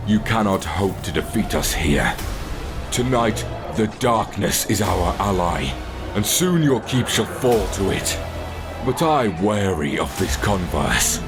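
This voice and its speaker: Man as a slow, deep, arrogant dragon villain. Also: lively intonation. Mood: haughty menace. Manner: slow, pompous, menacing. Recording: music behind the voice.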